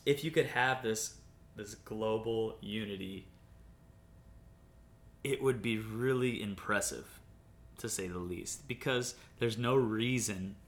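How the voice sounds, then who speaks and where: A young man hosting a casual podcast chat, rambling and laughing often, drifting into quieter asides. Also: slow pace, long pauses. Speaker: podcast host. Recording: faint background noise and rumble.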